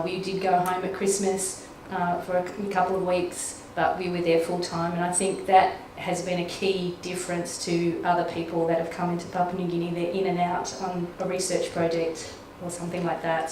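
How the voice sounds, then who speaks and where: Woman talking low and flat, sounds far off in a big echoey room. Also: a little hiss or hum. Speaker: conservation worker. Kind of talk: interview answer.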